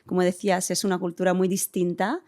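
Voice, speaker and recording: A woman from Italy, a guest in an interview, answering thoughtfully and pausing with hums as she searches for words. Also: lowish voice, little pitch movement, a little mellow. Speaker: interview guest, community center director.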